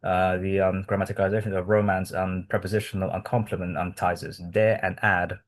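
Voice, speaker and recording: A man from Hong Kong, a native Cantonese speaker, giving an academic presentation to a research group, halting with many ums and restarts. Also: deep voice, little pitch movement.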